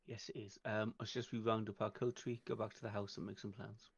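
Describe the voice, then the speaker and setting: Creaky man on a dull microphone. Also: fairly low pitch, little pitch movement, quick pace. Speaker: player in a tabletop game. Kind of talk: casual in-character chat.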